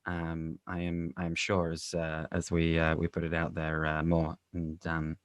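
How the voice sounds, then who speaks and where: A man speaking as an interview guest in a halting way, repeating words as he goes. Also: low-pitched, completely flat delivery, clear, resonant tone, dry recording, muffled recording. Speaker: interview guest, writer and director. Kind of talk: conversational interview.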